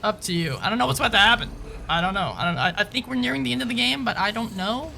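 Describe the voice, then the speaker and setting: A man's voice: sits high, animated, quick pace, gravelly, bright, forceful tone, a little hiss or hum. A man streaming a video game live, chatting casually with viewers and swearing as he plays. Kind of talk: live gameplay commentary. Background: game music.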